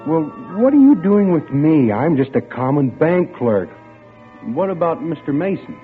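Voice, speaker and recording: A man's voice: fairly high pitch, big pitch swings. An American actor playing a smitten young bank clerk, telling his story in a wry, musing way in old radio suspense style. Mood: smitten, nervous. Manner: wry, musing, dramatic pauses. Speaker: actor narrating a radio drama. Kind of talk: golden-age radio suspense acting, first-person narration. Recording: tinny, band-limited old radio recording with music.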